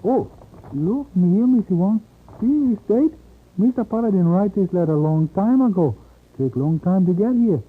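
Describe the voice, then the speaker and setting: An actor playing an excitable Chinese hotel porter in a 1950s radio drama, speaking halting broken English with a Chinese accent. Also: male voice, high voice, slightly rough. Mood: puzzled. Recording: tinny vintage radio recording.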